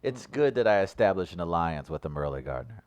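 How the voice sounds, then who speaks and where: A man co-hosting a talk show, trading jokes with his co-workers. His voice rises and falls over a very wide range. He teases, and now and then he launches into loud, theatrical mock speeches. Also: low-pitched, sing-song, fairly quick. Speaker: co-host. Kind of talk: joking radio banter.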